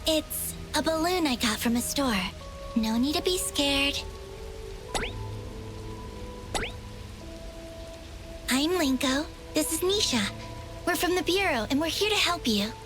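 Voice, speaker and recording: A woman voicing a cheeky, chatty young girl agent in an anime-style game, with an informal, expressive delivery. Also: sits high, normal speed, over music. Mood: friendly.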